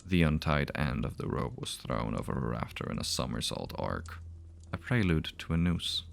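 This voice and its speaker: A man's voice: very deep voice, big pitch swings, normal speed, creaky. A man narrating a scripted fantasy horror story as its reader. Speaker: author and narrator. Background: music.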